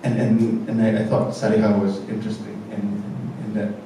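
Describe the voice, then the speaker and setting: A man's voice: lowish voice, monotone, moderate pace, mellow. Hesitant man in an echoey theatre. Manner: hesitant and reflective, many fillers and restarts. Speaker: theatre director. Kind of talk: post-show panel discussion. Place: large theatre stage. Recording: echoey theatre from a distance with noise.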